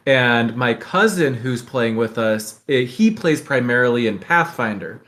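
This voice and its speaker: A man's voice: medium pitch, lively intonation, measured pace, fairly bright tone. A man chatting informally as the co-host of a podcast. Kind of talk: casual chat.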